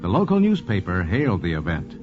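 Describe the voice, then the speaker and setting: Male American narrator, 1940s radio style, lively mid-range delivery, music behind, dull old recording. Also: low-pitched, brisk.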